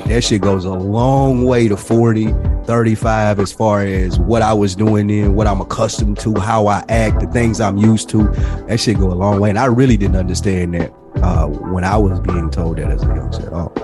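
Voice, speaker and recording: A man's voice: fairly low pitch, steady tone, moderate pace. A middle-aged American man from Nashville, chatting casually on a podcast in a reflective, slangy, profane manner. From Nashville, USA. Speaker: podcast panelist. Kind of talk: casual chat. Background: music.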